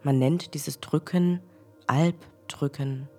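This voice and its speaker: Woman doing a solemn old legend book reader, slow husky voice, bit of music behind. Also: low voice, fairly even intonation, mellow, exaggerated voice. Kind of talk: formal read-aloud in a children's radio play.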